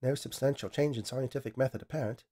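A man voicing a female AI lab assistant, speaking in a flat, precise, matter-of-fact way. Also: medium pitch, quick pace, voice pushed up, close to an everyday voice. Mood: dispassionate.

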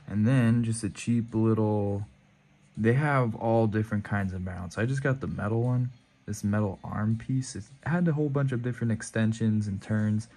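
A man with a lowish voice talks through his riding camera setup in an easygoing, rambling way. He often stops to correct himself. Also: dark tone. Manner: laid-back, rambling, self-correcting. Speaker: motovlogger. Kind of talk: casual gear walkthrough.

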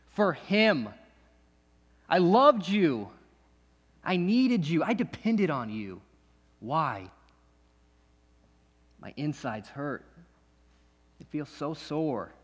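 An actor playing a bitter, distrustful man who keeps himself restrained, talking in halting bursts that repeat and break off, with pointed questions at the listener. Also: fairly high pitch. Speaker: actor in a monologue. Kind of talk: dramatic stage monologue. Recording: long echoey hall reverb.

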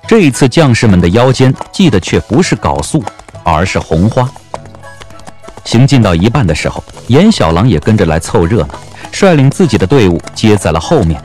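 A man with a lowish voice tells a story as an audiobook narrator. His delivery is dramatic and literary, rising and falling widely over a musical backdrop. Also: darkish tone. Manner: literary, measured dramatic phrasing. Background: background music.

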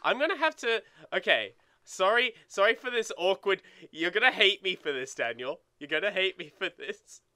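A young man with a fairly high, very bright and clear voice chats casually as a gaming co-host. He rambles, leans on "like" and swears freely. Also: expressive, normal speed. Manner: rambling, filler-heavy with frequent "like". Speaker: let's play co-host.

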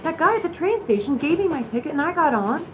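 Here is a scatterbrained, evasive lady passenger played by a woman in a radio comedy. Her voice is high and swings up and down with cheeky energy. The recording is muffled, with train rumble behind. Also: very animated, fast talker. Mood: bewildered.

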